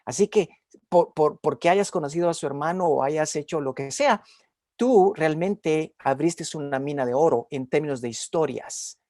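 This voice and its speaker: A man's voice: a little high, normal speed, dark tone, dry recording, studio quality. An older man interviewing conversationally, giving long emphatic commentary and repeating phrases. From Guatemala. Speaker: interviewer, academic.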